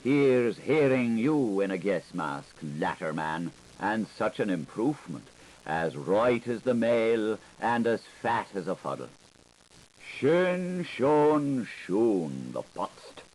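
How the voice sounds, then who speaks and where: A man with a low voice narrates a literary audiobook over audible tape hiss. His pitch swings widely as he shifts emphasis, giving the reading a playful, theatrical feel. It sounds like an audiobook taped in the 1980s. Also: sing-song, takes it slowly, a little mellow, low-quality recording.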